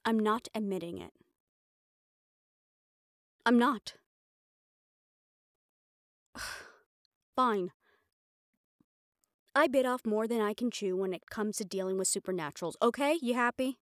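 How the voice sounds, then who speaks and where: A woman's voice: a little high, slow pace, long pauses, no room sound, clean studio sound. A woman playing a mafia boss's proud, frustrated personal assistant in an intimate roleplay audio drama, with an exasperated, self-reproachful manner. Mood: exasperated, reluctant.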